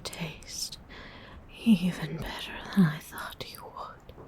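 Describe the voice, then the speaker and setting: A woman plays a female vampire who speaks in a breathy whisper at an extremely slow pace. She is predatory and hungry, with a teasing, seductive menace kept politely refined. The delivery is an intimate ASMR roleplay monologue in a lowish register. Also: fairly even intonation. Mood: hungry, coaxing. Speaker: ASMR voice performer.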